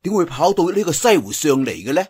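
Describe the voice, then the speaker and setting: A man's voice: on the high side, very animated, quick pace, darkish tone, slightly rough. A man voicing a naive, curious young swordsman in a martial arts story, with a Cantonese accent and wondering, exclamatory delivery. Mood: puzzled, curious.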